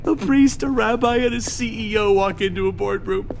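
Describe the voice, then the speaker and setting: A man's voice: high voice, normal speed, creaky, a little hiss or hum. A man voicing an unhinged, sinister henchman who jokes in a giggly, theatrical way in a video game. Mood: gleefully sinister.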